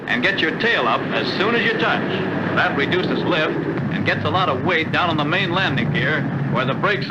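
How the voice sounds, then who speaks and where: A man playing an army air captain in a 1940s training film, explaining landing technique in a brisk, instructive way. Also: on the high side. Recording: old film soundtrack with loud engine noise.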